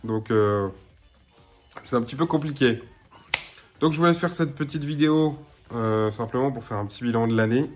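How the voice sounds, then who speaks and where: A man in his thirties talks casually to a desk webcam in a small room at home, his nose blocked and his voice still a bit hoarse. He rambles along with plenty of filler sounds, at an ordinary mid pitch. Faint music sits quietly behind him. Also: moderate pace, pauses often. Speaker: gaming YouTuber. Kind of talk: casual to-camera chat.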